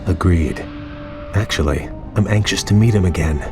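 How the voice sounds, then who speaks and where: An actor playing a proud, noble elven prince, speaking formally and resolutely in a fantasy game. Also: male voice, sits low, moderate pace. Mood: solemn. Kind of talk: dramatic fantasy game acting. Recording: game music under the voice.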